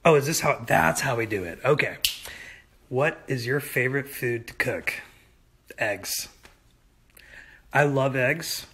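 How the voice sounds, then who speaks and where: A man's voice: mid-range voice, breathy edge. A young man in casual livestream chat from home, talking easygoing and rambling into a phone held at arm's length. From United States. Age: young adult. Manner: easygoing, rambling, slow-paced, lively. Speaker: singer answering fan questions. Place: indoors at home.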